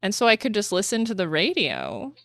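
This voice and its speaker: A woman's voice: medium pitch, huge pitch swings, fairly quick, fairly bright tone, dry recording, studio quality. Chatty Canadian woman host. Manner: chatty, laughs often, acts out quotes. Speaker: podcast host. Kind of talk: casual chat.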